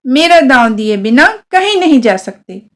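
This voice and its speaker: A woman's voice: high-pitched, very animated, moderate pace. A woman storyteller voicing a stubborn, bossy village boy, insistent and commanding. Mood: stubborn, demanding.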